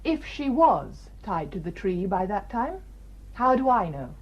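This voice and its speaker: A guarded female village doctor in a radio murder mystery. Her husky voice moves sharply up and down, and her manner is brisk, clipped and defensive. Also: medium pitch, sing-song, lo-fi sound. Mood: defiant. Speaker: actor. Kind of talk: radio drama acting.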